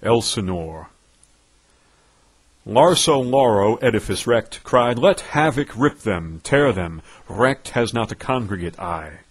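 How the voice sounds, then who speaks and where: A man with a husky voice reciting poetry in a theatrical, pompous, declamatory way with dramatic emphasis. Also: fairly low pitch, animated, slow. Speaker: poetry reader. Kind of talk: theatrical recitation of nonsense verse.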